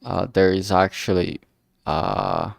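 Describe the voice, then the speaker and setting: A man giving a casual, unscripted livestream update in a halting, rambling way, often trailing off mid-phrase. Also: low voice, big pitch swings, gravelly, no echo, clean studio sound. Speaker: streamer, visual novel maker.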